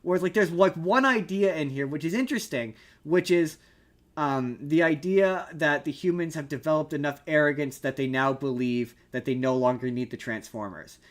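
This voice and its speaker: A man's voice: a little high, moderate pace. A man casually discussing movies as a podcast host, emphatic and opinionated in how he argues his points.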